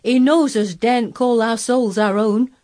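A woman's voice: on the high side, fairly even intonation, unhurried, darkish tone, lightly acted. A woman voicing a fretful, fearful young housemaid with a Yorkshire accent, exclaiming and gossipy, in a storybook reading. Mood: amazed. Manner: exclaiming, dialect-speaking, fretful.

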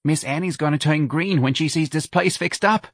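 A man's voice: a little high, normal speed, no room sound, clean studio sound, pitched above the performer's own voice. A man voicing a pushy, scheming middle-aged woman from New who talks in a brassy, bossy way.

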